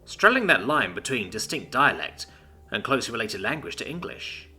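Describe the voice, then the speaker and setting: A man reading a first-person science fiction story in an animated way and acting out the characters. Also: mid-range voice, moderate pace, husky, faint music behind. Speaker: narrator.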